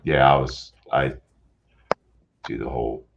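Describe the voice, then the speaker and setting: Very low male caller, meandering delivery, dull lo-fi line. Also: steady tone, gravelly. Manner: rambling, halting, lots of fillers. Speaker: guest caller. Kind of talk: casual live chat. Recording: dull lo-fi call audio with faint noise.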